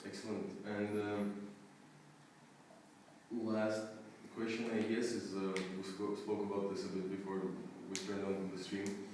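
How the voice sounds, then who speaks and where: A man's voice: lowish voice, completely flat delivery, a little slow, darkish tone. A young man interviewing a staff member for a student live stream, asking friendly questions with many hesitations. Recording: roomy echo, distant mic, noisy background.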